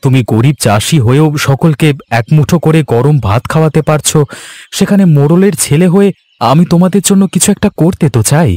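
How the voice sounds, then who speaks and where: A man's voice: on the high side, a little fast, mellow, dry recording, lightly acted. A man playing a kindly, earnest young son of a village headman in a children's cartoon. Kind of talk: Bengali children's cartoon voice acting.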